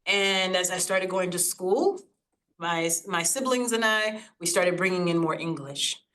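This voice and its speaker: Reflective woman speaker, slightly low voice. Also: lively intonation, unhurried, a bit bright. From United States, Haitian family. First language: Haitian Creole. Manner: reflective, searching for words with fillers. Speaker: guest speaker. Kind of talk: academic talk with slides. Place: online recording, video call.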